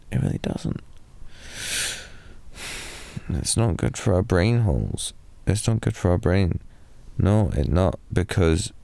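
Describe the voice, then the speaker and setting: Deep gravelly British man murmuring softly. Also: dry recording. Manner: soft, drowsy, rambling with slips into whispers. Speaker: ASMR performer. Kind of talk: improvised ASMR boyfriend roleplay.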